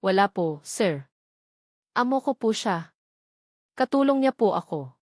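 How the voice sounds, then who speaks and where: A woman's voice: medium pitch, lively intonation, unhurried, no echo. Lady playing a scared young maid in an audio story, very polite and hesitant, dry recording. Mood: timid, nervous. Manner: hesitant, deferential. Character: timid, fearful young housemaid, humble and respectful.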